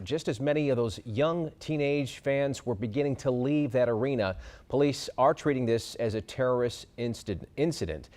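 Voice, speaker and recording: A man with an American accent anchors a television newscast. He reads in a formal broadcast style, his voice neither high nor low. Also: a little mellow. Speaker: TV news anchor.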